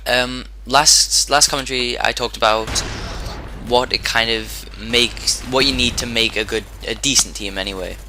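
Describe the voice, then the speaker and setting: A man with a hoarse, sore-throated voice giving casual commentary over his own gameplay, rambling and often restarting his sentences. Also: mid-range voice, steady tone, normal speed, noisy background. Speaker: gaming commentator.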